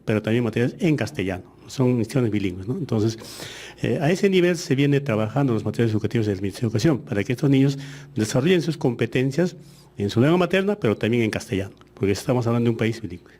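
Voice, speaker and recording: A man whose first language is Aymara, a ministry official answering interview questions in a measured way with frequent hesitations. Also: medium pitch, animated, warm tone.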